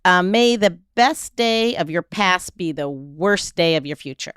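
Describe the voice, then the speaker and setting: A woman's voice: fairly low pitch, animated, measured pace, fairly bright tone, clean tone, no echo, clean studio sound. A woman hosting a podcast in a casual, chatty way, laughing often. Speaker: podcast host.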